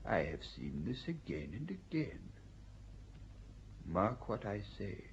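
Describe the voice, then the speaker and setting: A man playing a grave old inquisitor, low and solemn. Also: breathy edge, heavily acted. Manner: solemn, measured, sermon-like oratory. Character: stern elderly male inquisitor, grave and persuasive. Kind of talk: audio drama acting. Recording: dull lo-fi recording.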